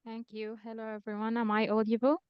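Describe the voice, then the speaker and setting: A woman's voice: on the high side, completely flat delivery, brisk, clean tone, no echo, lo-fi sound. A young woman introducing herself as a guest speaker on an online call. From Sudan. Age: young adult. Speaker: guest speaker, intern doctor. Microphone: online call microphone.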